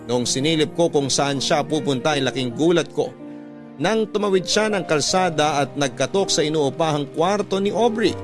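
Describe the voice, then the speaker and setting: A man's voice: a little high, lightly acted. A man playing the confiding narrator of a lover's letter in a Filipino radio drama, telling it in a scripted dramatic style. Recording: instrumental music bed behind the voice.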